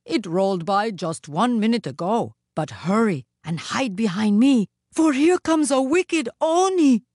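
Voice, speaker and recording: A woman's voice: fairly low pitch, lively intonation, moderate pace, no echo, clean studio sound, natural voice. A woman playing a stern, protective statue of a god in a storybook, speaking firmly and solemnly. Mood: protective, urgent.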